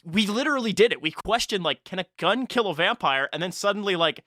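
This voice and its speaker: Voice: medium pitch, expressive, fast, sharp tone, dry recording, studio quality. A podcast host in casual conversation, thinking aloud in informal, half-finished thoughts. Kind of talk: casual chat.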